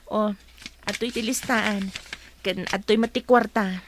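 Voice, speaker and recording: A woman's voice: mid-range voice, steady tone, measured pace, slight background noise, natural voice. A woman acting as a possessive, watchful bakery wife in a comedy-drama radio play. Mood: bossy.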